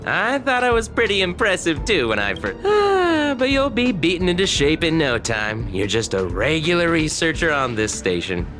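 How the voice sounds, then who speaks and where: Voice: mid-range voice, huge pitch swings, moderate pace, few pauses, music in the background. An actor playing a condescending, teasing research colleague in a video game, chatty and scoffing with exaggerated delivery. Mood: scornful, teasing. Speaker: researcher character. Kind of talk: animated video game dialogue.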